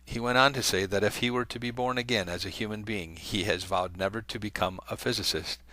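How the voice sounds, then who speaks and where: A man's voice: on the low side, steady tone, a little fast, sharp tone, rough recording. A man hosting an online talk channel, reading a long letter aloud to his viewers in a conversational way, with fillers and small self-corrections. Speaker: video channel host.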